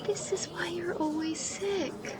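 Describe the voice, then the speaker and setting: Woman playing a high-voiced, casual younger sister. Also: steady tone, takes it slowly, crowd noise. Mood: teasing. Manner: casual sibling bickering. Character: teasing younger sister, casual, exasperated but affectionate. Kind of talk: naturalistic audio drama dialogue. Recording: clearly noisy ambience behind the voice.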